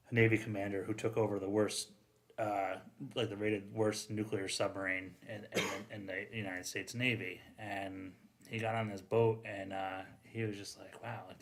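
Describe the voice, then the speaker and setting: Low-voiced man chatting informally. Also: a bit bright. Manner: informal, frequent "like", self-interrupting. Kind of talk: casual conversational storytelling.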